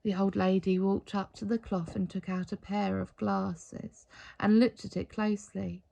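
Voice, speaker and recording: A woman reading a picture book aloud in an expressive storytelling style. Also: mid-range voice, a little slow, creaky. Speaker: story reader.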